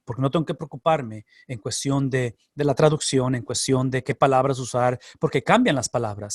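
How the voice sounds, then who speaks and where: A man's voice: medium pitch, no room sound, clean studio sound. A man who is a pastor and Bible teacher, answering class questions in an explanatory way, repeating phrases for emphasis. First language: Spanish.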